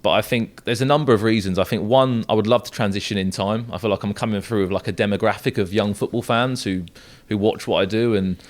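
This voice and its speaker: A young man with a low-pitched voice and a southern English accent. He is an esports football commentator, chatting casually as a guest on a podcast. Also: quick pace, hardly any pauses. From south coast of England. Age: young adult.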